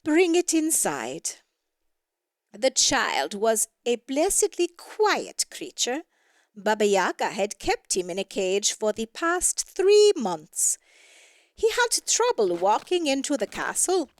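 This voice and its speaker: Woman reading a fairy story, super expressive and swoopy, close dry recording. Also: a little high, dry recording. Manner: expressive storytelling with deliberate emphasis. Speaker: story narrator. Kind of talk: scripted fairy tale narration.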